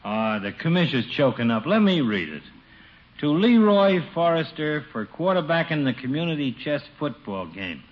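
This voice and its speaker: An actor playing a breezy, wisecracking small-town barber, slangy and chummy, in a radio comedy. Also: male voice, a little high, sing-song, moderate pace. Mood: proud, joking. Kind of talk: 1940s radio comedy acting. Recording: dull, noisy old radio broadcast.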